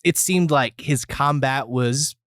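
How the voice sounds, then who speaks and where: A man's voice: medium pitch, slow, a bit bright, smooth, dry recording, clean studio sound. A man co-hosting a podcast, chatting casually and comedically with joking asides. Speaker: podcast co-host.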